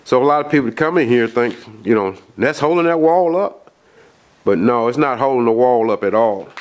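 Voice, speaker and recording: A man with a cold and a nasal voice, filming a folksy, rambling walkthrough of a dark house basement on a handheld camera, with lots of fillers and restarts. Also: medium pitch, expressive, normal speed. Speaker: outdoors video host. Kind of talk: casual vlog walkthrough.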